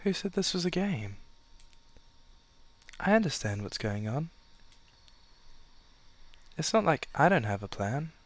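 A man's voice: a little high, big pitch swings, slow, long pauses, heavily acted. A man voicing an earnest, stubborn young woman rebel in a video game dub. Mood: hurt, defiant.

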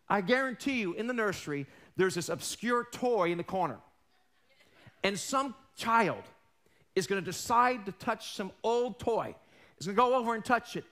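A man in his forties preaching a sermon on a large church stage, losing his voice, animated and emphatic, swinging between loud and hushed and calling out questions to the congregation. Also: high-pitched. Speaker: pastor.

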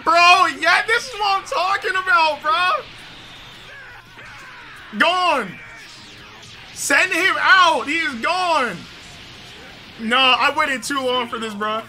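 A young man reacts to a game trailer on a headset, his voice pitched very high and strikingly bright. He drawls slowly between bursts of excited yelling, with faint trailer music playing underneath. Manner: hyped, yelling and laughing, slang-heavy. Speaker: gaming video host. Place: small home gaming room, indoors.

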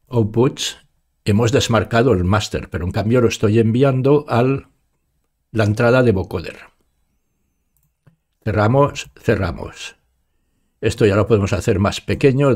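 A man in his sixties with an accent from Spain, giving an informal step-by-step software tutorial and talking each step through aloud into a close studio mic. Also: mid-range voice. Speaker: tutorial presenter. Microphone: studio microphone up close, with headphones.

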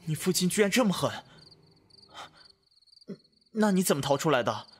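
An actor playing a brash, devoted young time-traveller in a Chinese costume audio drama, boyish, expressive and easily flustered. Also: male voice, a little high, normal speed, slightly rough. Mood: concerned.

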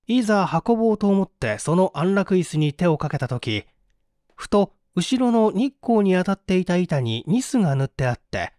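Theatrical male narrator with animated pitch. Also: normal speed, dry recording, clean studio sound. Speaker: audiobook reader. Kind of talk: dramatic scripted narration of a mystery story.

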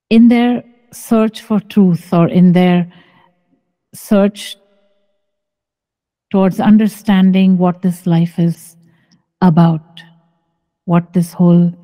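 Middle-aged woman spiritual teacher, unhurried and measured, very mellow tone, long reverb. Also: fairly low pitch. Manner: calm, slow, repeats key phrases. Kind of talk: satsang teaching, measured and deliberate.

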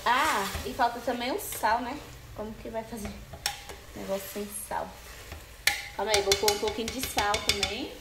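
A woman's voice: a little high, normal speed, long pauses. Chatty young woman cooking, echoey kitchen. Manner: chatty, keeps asking viewers "né, gente?". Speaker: cooking vlogger. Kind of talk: casual cooking chat to camera. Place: small home kitchen with tiled walls. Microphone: camera on the counter, partly hand-held over the stove. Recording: echoey tiled kitchen, faint background noise.

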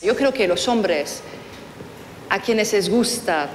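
A woman, a TV panelist calmly explaining in an archive clip from a large studio set. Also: mid-range voice, slow. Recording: roomy archive TV clip with noise.

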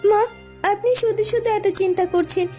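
Woman playing a sweet, high-pitched young princess. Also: fairly even intonation, fairly quick, dark tone. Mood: reassuring. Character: gentle, reassuring young princess speaking to her mother-in-law. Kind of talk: cartoon fairy-tale voice acting. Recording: music behind the voice.

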